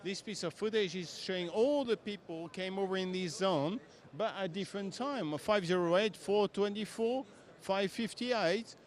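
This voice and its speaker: Brief: man, high voice, emphatic non-native English, trade show demo. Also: normal speed. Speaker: software demonstrator. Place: exhibition stand at trade show.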